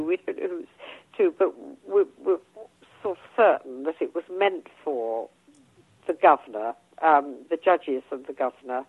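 An older English woman speaks over a tinny phone line, her voice husky and going hoarse. She talks in a lively, rising and falling way, pausing on ums and restarting her sentences. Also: sits low, dry recording. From England. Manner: hesitant, many ums and restarts. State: losing her voice. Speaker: guest author. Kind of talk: casual interview talk.

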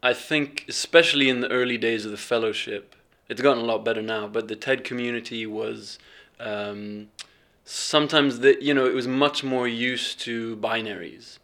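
A young man speaking in a relaxed interview, reflective and hesitant, with many restarts and ums as he thinks aloud. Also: medium pitch, moderate pace. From England, raised partly in Bahrain. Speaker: filmmaker and fellowship alumnus.

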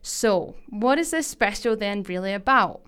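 A woman's voice: mid-range voice, lively intonation, unhurried, a bit bright, clean tone. A young woman from Denmark with a Danish accent, hosting a podcast in a chatty, enthusiastic way with frequent fillers. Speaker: podcast host. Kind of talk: casual podcast talk.

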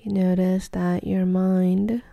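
A woman leading a guided meditation in a soft, soothing, unhurried way, her voice breathy and close to a whisper at times. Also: fairly low pitch, level tone, no echo, dull audio. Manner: soft, soothing, unhurried delivery with short phrases.

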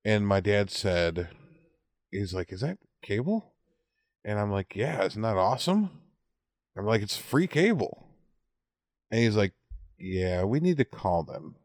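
A man in his forties from the United States with a fairly low voice, recorded dry with no room sound. He chats casually as a podcast co-host, often repeating words as he works through an anecdote. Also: big pitch swings, unhurried, long pauses, no echo.

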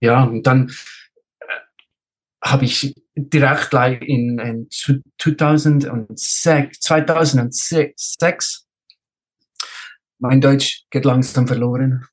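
A man's voice: mid-range voice, steady tone, takes it slowly, long pauses, poor audio. A man with a Swiss German accent chatting casually, searching for words and mixing in bits of English. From Switzerland. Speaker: interview guest, ultrarunner and race director. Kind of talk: casual chat, telling anecdotes.

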